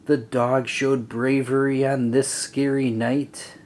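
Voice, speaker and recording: A man reading a rhyming children's story aloud in an expressive, deliberate, sing-song way. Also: mid-range voice. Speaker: storybook reader. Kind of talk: children's read-aloud narration.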